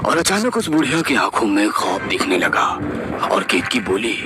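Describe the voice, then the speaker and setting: A man's voice: on the low side, sing-song, normal speed. A man narrating a scripted horror story in a suspenseful, dramatic storytelling style. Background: loud dramatic music and effects.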